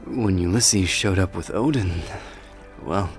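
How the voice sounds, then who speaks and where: A man's voice: low voice, slow pace, faint music behind. A man playing a courteous, reflective warrior king in an ASMR audio roleplay, speaking formally and politely with some hesitation. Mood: pensive, uneasy.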